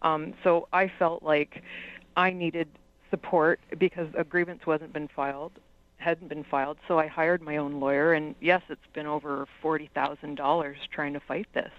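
A woman's voice: low-pitched, level tone, normal speed, dull audio. A woman firefighter who is a guest on a radio interview, speaking earnestly and laying out her demands point by point. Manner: earnest, pleading, lists demands.

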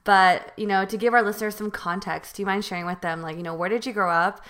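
A woman's voice: medium pitch, steady tone, quick pace, a bit bright. Woman host asking questions, says like a lot, casual. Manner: casual, uses "like" and "you know" a lot. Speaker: podcast co-host. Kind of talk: casual interview chat.

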